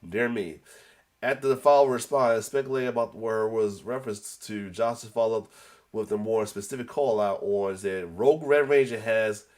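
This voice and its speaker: A man with a cold hosts a casual news reaction, his voice stuffed up and a little lower than most. He rambles, restarts sentences and coughs now and then. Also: moderate pace, a little mellow. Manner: rambling, repeats "as well too", many restarts; occasional coughs. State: congested with a cold. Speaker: host.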